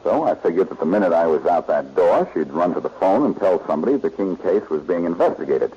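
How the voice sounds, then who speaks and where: A confident male detective from an old radio mystery, asking pointed questions in a very deep voice. His delivery swings in pitch and talks smoothly, on a noisy vintage recording. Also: very animated, fast, dull audio. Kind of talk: 1940s radio detective drama acting.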